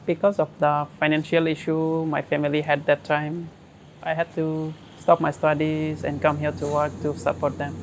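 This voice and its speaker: A migrant worker from Bangladesh, speaking plain, halting English about his life. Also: on the high side, monotone, slight background noise, poor audio.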